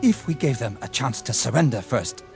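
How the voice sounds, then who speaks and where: An actor playing a shaken, compassionate army lieutenant in a radio drama, hesitant, earnest and pleading. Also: male voice, medium pitch, animated, moderate pace, vocal fry. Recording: music under the voice.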